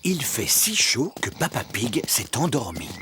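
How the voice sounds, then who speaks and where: A performer narrating a children's cartoon like a storybook, clearly and with warm amusement. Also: medium pitch, sing-song, music in the background. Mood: amused narration. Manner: measured, clearly articulated storytelling.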